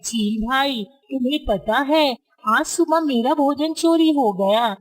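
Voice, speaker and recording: A woman's voice: high voice, slow pace. A woman voicing a worried male pigeon in a cartoon story, fretful and complaining. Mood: worried, upset.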